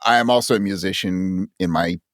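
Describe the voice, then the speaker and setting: A man with a fairly low voice talks as a guest in an interview. He is reflective and thoughtful, often searching for the right words. The sound is dry, with no room echo. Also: a little fast, a bit bright. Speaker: podcast guest, audio drama creator.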